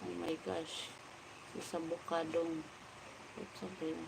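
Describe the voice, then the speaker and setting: A Filipino woman in her forties with a Filipino accent talks slowly and flatly, and her voice has a gravelly edge. She greets her livestream viewers by name and answers their comments. Steady background noise runs under her voice. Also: fairly low pitch. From Philippines. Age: 40s. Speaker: livestream vlogger. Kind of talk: casual livestream chat reading comments. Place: home at night.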